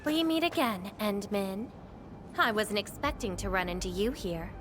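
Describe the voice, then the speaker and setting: A woman's voice: medium pitch, lively intonation, moderate pace, noisy background, lightly acted. A woman playing a self-assured, coolly teasing young warrior in a video game, composed and slightly formal. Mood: cool, knowing. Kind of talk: video game cutscene acting.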